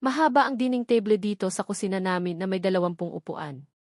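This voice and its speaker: A woman's voice: medium pitch, fast talker, a little mellow, no room sound, clean studio sound. A woman with a Filipino accent reading a first-person novel as a scripted narration. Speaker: audiobook narrator.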